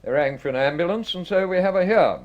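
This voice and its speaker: An actor playing an affable hospital doctor in a radio drama, conversational and a little hesitant. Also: male voice, sits high, animated, fast, lo-fi sound. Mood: matter-of-fact.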